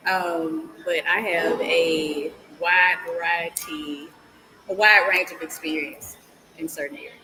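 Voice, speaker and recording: Middle-aged Southern woman guest, low-pitched, fills with ums, noisy room. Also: fairly even intonation, slow pace. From Mississippi. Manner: frequent ums, explanatory. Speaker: guest, business consultant. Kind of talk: casual interview talk.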